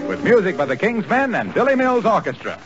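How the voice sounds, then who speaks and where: A hearty radio announcer from the 1940s reading a product spot in a lively, rising and falling voice. Orchestra music plays clearly behind him, and the whole thing sounds muffled like an old broadcast. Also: male voice, high voice, fairly quick.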